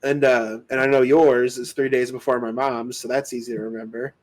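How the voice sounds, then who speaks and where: A man's voice: medium pitch, level tone, a little fast, a little mellow, poor audio. A man co-hosting a podcast, joking loosely and laughing often through casual chat. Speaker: podcast co-host.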